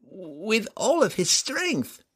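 High whiny cowering demon servant, wobbly pitch, breathy, slow and scared. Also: male voice, big pitch swings, heavily acted. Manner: whimpering, fearful, pleading. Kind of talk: audiobook character voice.

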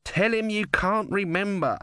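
A man voicing an irascible, insulting god in an audiobook, shouting in exasperation and sarcasm. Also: mid-range voice, normal speed, no echo, clean studio sound, pitched above the performer's own voice, close to an everyday voice. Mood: exasperated, yelling. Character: irascible male god, shrill, insulting, screaming. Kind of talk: audiobook character voice.

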